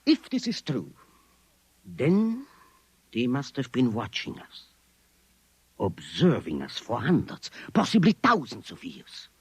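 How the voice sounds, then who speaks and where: A formal, earnest man with a fixed conviction, in 1950s radio drama style. His pitch swings dramatically as he stresses his points with pedantic care. The voice is slightly rough and recorded dry and close. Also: wildly swooping pitch, takes it slowly, long pauses, no echo, exaggerated voice. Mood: earnest lecturing. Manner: formal, pedantic, emphatic phrasing. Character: earnest, precise, formal man convinced Martians live among us.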